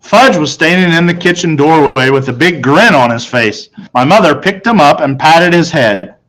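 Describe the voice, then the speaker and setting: A man's voice: fairly high pitch, expressive, moderate pace, fairly bright tone, poor audio. A man reading a children's story aloud as a first-person narrator.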